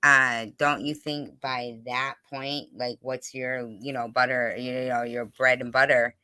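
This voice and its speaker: A livestream host with a bright, crisp voice at a medium pitch, chatting casually with a guest. The delivery is insistent and halting, often repeating phrases to drive a point home. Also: level tone, dry recording. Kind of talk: casual livestream interview chat.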